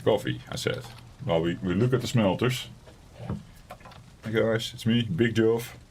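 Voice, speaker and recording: A man with a raspy voice streaming a survival game from home, chatting casually and rambling in a self-deprecating way. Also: fairly low pitch, unhurried, darkish tone, slight background noise. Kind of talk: casual let's-play commentary.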